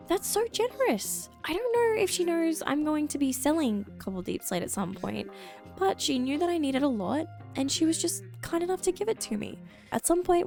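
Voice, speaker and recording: A woman recovering from a lost voice, slightly croaky, chatting excitedly to viewers while playing a game. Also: fairly high pitch. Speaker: Minecraft let's-play creator. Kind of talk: casual gameplay commentary.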